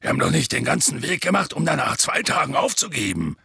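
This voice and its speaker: Storybook half-giant, big and rough, very husky and close-dry, weary, homespun, matter-of-fact storyteller. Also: male voice, medium pitch, sing-song, quick pace, pushed and bright, dry recording, heavily acted. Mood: dogged, animated. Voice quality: husky, gravelly. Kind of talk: audiobook character dialogue.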